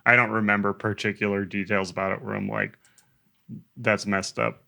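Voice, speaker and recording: A man with a crisp, forward tone and a lot of creak co-hosts a podcast. His style is casual and comic, full of informal joking. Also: fairly low pitch, little pitch movement, normal speed, bright, forceful tone.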